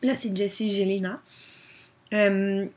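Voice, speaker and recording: A woman's voice: medium pitch, fairly even intonation, slow pace, a little mellow. A young woman with a Québécois French accent chatting casually to her webcam, answering questions with many fillers. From Quebec. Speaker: vlogger.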